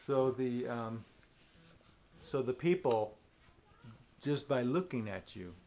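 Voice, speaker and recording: A man asks short, plain questions in an interview. He keeps his prompts brief and his replies short, at an ordinary middle pitch. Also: lively intonation, takes it slowly, dark tone, a little hiss or hum. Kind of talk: oral history interview.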